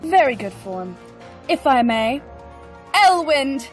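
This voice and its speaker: A woman performs a prim, scholarly sorceress in a fantasy audio drama. Her voice is fairly high and very animated, with formal, precise wording, over faint music. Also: slow pace, heavily acted. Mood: composed, commanding. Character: prim, bookish female mage, composed and analytical.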